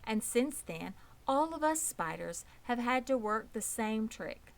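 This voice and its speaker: A woman's voice: a little high, lively intonation, measured pace, gravelly. A woman voicing a poised, pleasant and proud female spider in a children's storybook, composed, precise and matter-of-fact. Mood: proud, defensive. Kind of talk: children's storybook read aloud.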